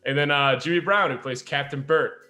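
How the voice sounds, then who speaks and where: A man's voice: a little high, brisk, bright, forceful tone. A young man hosting a casual group chat, talking in a rambling, jokey way. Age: young adult. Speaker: podcast host.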